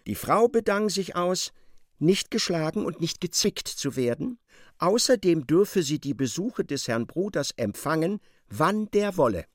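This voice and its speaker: A man giving expressive literary narration in a radio drama, as a storyteller. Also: fairly high pitch, a little slow, dry recording, clean studio sound.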